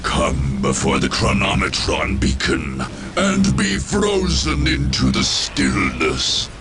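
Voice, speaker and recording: An actor playing an ancient, malevolent undead machine lord in a video game, declaiming in a grandiose, contemptuous and ominous way. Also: male voice, low-pitched, wildly swooping pitch, vocal fry, music in the background, heavily acted. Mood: menacing, scornful. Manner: slow, ominous, portentous declaiming. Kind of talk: theatrical video game villain acting.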